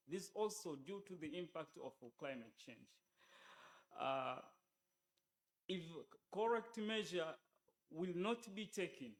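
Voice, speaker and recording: Male presenter, slightly high voice, slow and hesitant formal delivery. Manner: halting, hesitant delivery with broken phrases.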